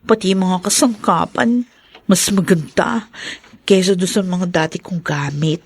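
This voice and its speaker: A woman's voice: lowish voice, unhurried, breathy edge, dry recording. A woman playing a sly scheming mistress. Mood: gloating. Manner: sly, wheedling, giggly. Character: scheming pregnant young mistress. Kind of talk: Filipino radio drama acting.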